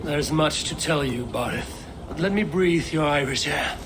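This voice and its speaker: Male actor as seafaring Viking warrior, warm, measured, plainspoken, slightly high pitch, noisy outdoor ambience, game drama. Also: natural voice. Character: adult Viking warrior newly arrived by sea, composed, warm. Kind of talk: video game cinematic acting.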